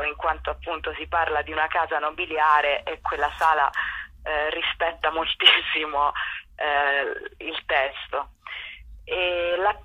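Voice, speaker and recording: A woman giving a radio interview as a guest over the telephone, hesitant and searching for words. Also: low voice. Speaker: theatre performer interviewed as guest. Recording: dull lo-fi telephone line.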